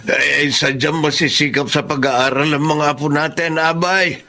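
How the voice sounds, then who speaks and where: A man's voice: fairly high pitch, little pitch movement, normal speed, sharp tone. A man playing a proud, reassuring older grandfather in a Filipino radio drama.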